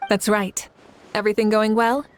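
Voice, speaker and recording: A woman's voice: medium pitch, flat delivery, slight background noise, natural voice. Woman playing a composed, confident game leader. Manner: measured, assured. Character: composed, caring, confident female leader of a nation. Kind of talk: video game voice acting.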